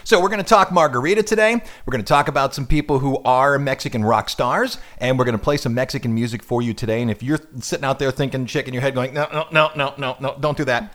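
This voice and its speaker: A man's voice: mid-range voice, fast talker, hardly any pauses, fairly bright tone. A man hosting a radio music show in casual chat, joking and rambling with self-deprecating asides.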